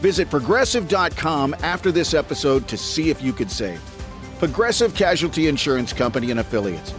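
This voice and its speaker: A man's voice: a little high, lively intonation, a little fast, over music. A man reading a scripted advertisement as an announcer.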